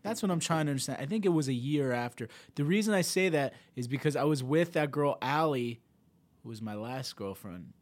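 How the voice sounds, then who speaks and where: A man's voice: mid-range voice, lively intonation, brisk. A man in his thirties, a comedian, in casual podcast chat, laughing often. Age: 30s.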